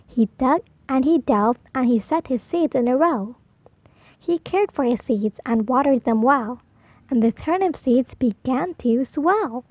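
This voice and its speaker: A woman storyteller reading a picture book aloud for preschoolers, animated, drawing out key words for emphasis. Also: high-pitched, measured pace, dry recording. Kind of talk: expressive read-aloud for preschoolers.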